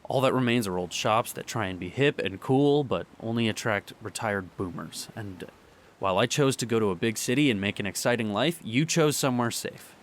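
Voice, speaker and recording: A young man performing a radio drama monologue, halting and sighing as he pleads with an absent partner. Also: fairly low pitch. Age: young adult. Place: beside the sea.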